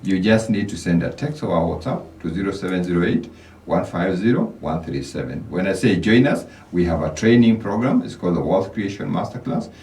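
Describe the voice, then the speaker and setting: A man's voice: sits low, warm tone, roomy sound, recorded from a distance. A Kenyan man, a financial coach, giving motivational advice as a radio guest, often repeating words as he starts a thought. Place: radio studio.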